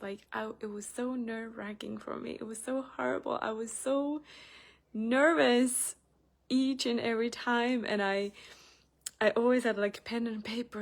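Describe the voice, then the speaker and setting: A young woman with a Finnish accent, chatting casually in a personal vlog with many fillers, getting animated when she retells a story. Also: mid-range voice, creaky. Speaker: spiritual channel host.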